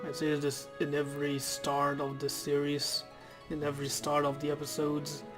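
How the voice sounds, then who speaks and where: A man's voice: fairly high pitch, monotone, normal speed, over music. A man whose first language is Dutch, chatting casually over a game he plays and reading its text aloud. He often stumbles and corrects himself. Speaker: let's player.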